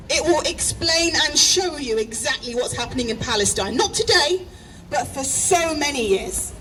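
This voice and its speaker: A woman giving an emotional, earnest speech at an outdoor rally, repeating words for emphasis. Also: high voice. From England. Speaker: protest speaker. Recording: distant mic, loud street noise.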